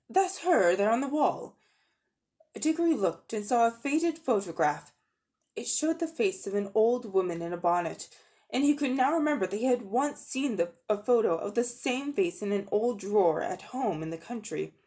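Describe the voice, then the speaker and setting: Animated woman narrating a storybook. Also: mid-range voice, moderate pace. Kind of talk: dramatic storybook reading.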